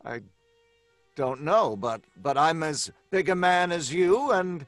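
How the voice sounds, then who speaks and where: A cornered, anxious writer in old-time radio style. His voice sits fairly high, and he comes across as stubborn yet flustered and hesitant. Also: slow pace, a bit bright, no room sound, soft background music. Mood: wary, defiant.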